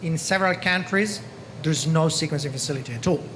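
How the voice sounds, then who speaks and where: A man's voice: a little high, brisk, fairly bright tone. A man in his thirties from Italy with an Italian accent, speaking on a conference panel into a handheld mic, earnest and repeating "okay?" often. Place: large conference hall stage. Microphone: handheld microphone. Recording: reverberant hall with background noise.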